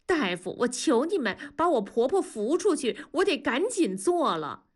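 A woman's voice: high-pitched, very animated, normal speed, slightly rough, pitched above the performer's own voice, heavily acted. A woman voicing an upset wife in her late thirties, pleading and exasperated. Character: upset woman near forty, distraught.

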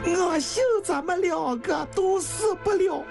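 A woman's voice: extremely high pitch, lively intonation, drawn-out words, over music, pitched above the performer's own voice, exaggerated voice. A woman storyteller voicing a desperate, devoted young woman in a tearful, pleading way, for a dramatic audio story. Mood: tearful, determined.

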